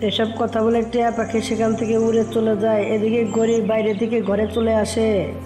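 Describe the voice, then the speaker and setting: A woman's voice: a little high, little pitch movement, moderate pace. A woman narrating a scripted cartoon story for children. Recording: roomy echo with music behind.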